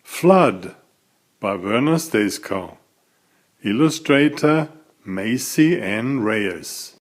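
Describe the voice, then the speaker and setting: Slow, animated man reading a storybook. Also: fairly low pitch. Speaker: author reading aloud. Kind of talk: children's storybook narration.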